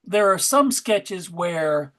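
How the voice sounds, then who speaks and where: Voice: mid-range voice, expressive, slow. A convention panel member and organizer chatting casually in a group of friends.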